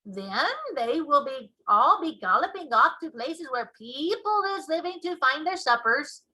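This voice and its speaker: A woman voicing a big friendly male giant from a children's storybook, folksy and excitable, with muddled grammar and made-up words. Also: high-pitched, measured pace, full character voice, rough recording. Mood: matter-of-fact. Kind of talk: children's storybook read-aloud.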